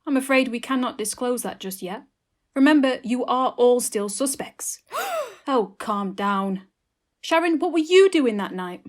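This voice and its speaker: An unflappable auntie detective, played by a woman in a comic mystery drama. Her voice sits a little high, and she is casual, wry and gently condescending. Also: big pitch swings, measured pace. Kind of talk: comic murder-mystery audio drama acting.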